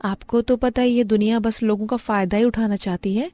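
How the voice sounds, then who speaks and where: A woman voicing a kind, humble young village wife who runs a roadside eatery in a cartoon story, speaking earnestly and gently. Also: on the high side, steady tone, fairly quick, no echo, clean studio sound, close to an everyday voice.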